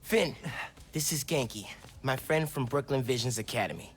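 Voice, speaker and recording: A man's voice: mid-range voice, moderate pace, slight background noise, natural voice. A young man playing an earnest, friendly teenage superhero in a video game, with a casual, boyish manner. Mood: friendly, excited. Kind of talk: naturalistic video game cutscene acting.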